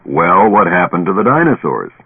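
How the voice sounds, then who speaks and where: An actor playing a skeptical, brash drinking buddy who is blunt, slangy and needling in a radio play. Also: male voice, deep voice, big pitch swings, moderate pace, slightly rough, phone-quality sound, heavily acted. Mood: probing. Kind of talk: old radio drama acting. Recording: band-limited old radio recording, echoey.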